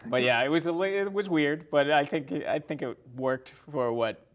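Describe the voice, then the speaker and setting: A man talking casually about a film as a podcast guest, haltingly and with many uses of "like". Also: fairly high pitch. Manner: hesitant, halting, often repeats "like".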